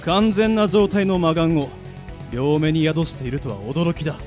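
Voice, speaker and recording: A man's voice: a little high, moderate pace, darkish tone, natural voice. A man playing a pompous, kindly middle-aged spirit mentor with old-fashioned, lecturing speech in an anime-style radio drama. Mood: solemn, explaining. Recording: background music under the voice.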